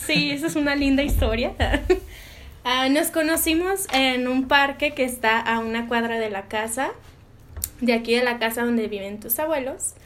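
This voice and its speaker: A woman's voice: high voice, moderate pace, fairly bright tone. A woman in her twenties with a Mexican accent, chatting casually as an interview guest in a giggly, slangy way. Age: 20s. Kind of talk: casual chat. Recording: noisy amateur home recording.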